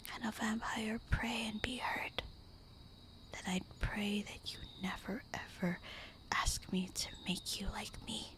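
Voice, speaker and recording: Lady doing a sad loving vampire wife, breathy whisper, really slow and intimate, asmr roleplay. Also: mid-range voice, steady tone, fairly bright tone, poor audio. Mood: wistful, resigned. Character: loving, melancholy vampire wife, gentle and devoted.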